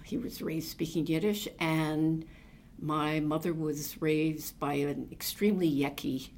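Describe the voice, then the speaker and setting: Older lady from new jersey, low gravelly voice, rambling reflective memories w lots of ums. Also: fairly even intonation, a little slow, a little mellow. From New Jersey, USA. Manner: meandering, reflective storytelling with many fillers. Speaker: oral history interviewee. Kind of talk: reminiscing conversation.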